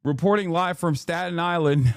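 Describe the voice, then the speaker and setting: A man with a Texan accent hosting a livestream, riffing in a casual, excitable, profane way and often repeating himself. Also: on the high side, moderate pace, noisy background. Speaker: livestream host.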